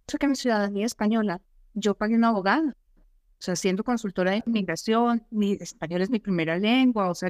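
A woman's voice: medium pitch, darkish tone, no room sound. Emphatic woman explaining immigration advice. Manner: explanatory, emphatic, asks rhetorical questions. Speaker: immigration consultant and co-host. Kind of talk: advisory talk show chat.

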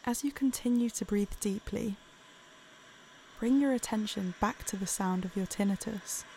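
A woman narrating a guided meditation in a calm, soothing, unhurried way. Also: medium pitch, a little hiss or hum.

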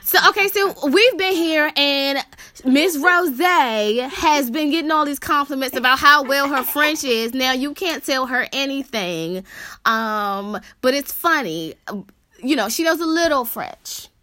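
An American woman in lively casual conversation, her voice bright and forceful. The sound is dry and close, with no room around it. She talks in quick bursts and laughs easily. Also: high-pitched, no echo. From United States. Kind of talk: casual chat.

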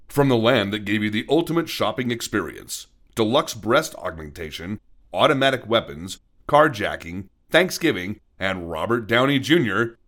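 A low-voiced, grandiose announcer as a man plays him introduces a comedy show. His delivery is scripted and tongue-in-cheek, with pitch that rises and falls dramatically. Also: fairly bright tone.

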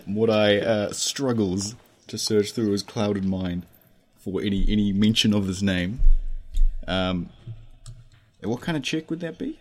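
A man's voice: on the low side, takes it slowly, long pauses. A man playing a tabletop game, talking casually and joking. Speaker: player.

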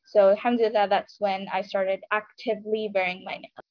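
A woman from India who grew up in Saudi Arabia talks as a podcast guest, at a medium pitch. She gives a personal account conversationally, with frequent filled pauses. The sound is dry and a little dull. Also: flat delivery, no echo. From India, raised in Saudi Arabia. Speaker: podcast guest, startup founder.

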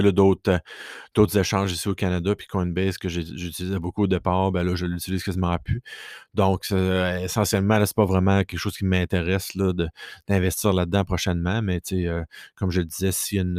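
A man's voice: low voice, a little mellow, no room sound, studio quality. A young man from Quebec with a Québécois accent, hosting a solo podcast from his basement, rambling with many fillers and restarts. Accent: Québécois French. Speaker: podcast host. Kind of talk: casual solo podcast talk. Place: home basement.

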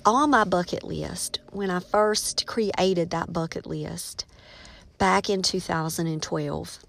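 A woman's voice: fairly low pitch, slow, vocal fry, fairly bright tone. A middle-aged American woman hosting a casual solo podcast, chatting in a folksy storytelling way straight to her listeners. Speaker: podcast host, teacher and writer.

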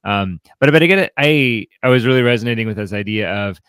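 A man co-hosting a podcast in casual, reflective discussion, speaking haltingly and often repeating words as he restarts. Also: lowish voice, expressive, a bit bright, clear, resonant tone, no echo. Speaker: podcast co-host.

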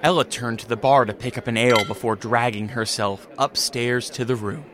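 A man narrating a radio drama from a script in a measured storytelling manner. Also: mid-range voice, fairly even intonation, crowd noise, lightly acted.

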